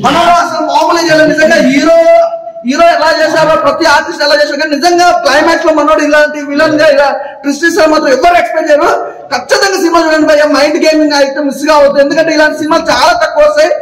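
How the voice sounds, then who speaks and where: An effusive, emphatic man, often shouting as he gives an excited movie review as an audience member. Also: very high voice, rapid-fire. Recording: echoey, distant mic with crowd noise. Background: crowd.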